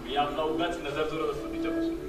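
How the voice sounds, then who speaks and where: A man's voice: fairly high pitch, flat delivery, fast, over loud music, lightly acted. An Indian man acting in a stage drama as a sentimental married man still devoted to a former love, speaking earnestly and wistfully. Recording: echoey stage, distant mic, background noise.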